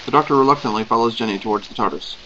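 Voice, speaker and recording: A man giving plain, steady scripted narration for an audio drama. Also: mid-range voice, very fast, highs cut off, a little hiss or hum. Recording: music bed behind.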